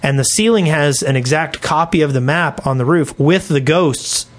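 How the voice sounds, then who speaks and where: Higher-voiced man chatting enthusiastically. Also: moderate pace. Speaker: podcast host. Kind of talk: casual gaming podcast chat.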